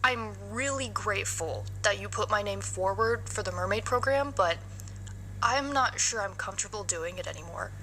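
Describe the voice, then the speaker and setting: Woman acting young uneasy aquarium performer, bright tense voice, hesitant, polite, pleading, horror series, slight hum. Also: medium pitch, moderate pace, natural voice, low-quality recording. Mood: uneasy, reluctant.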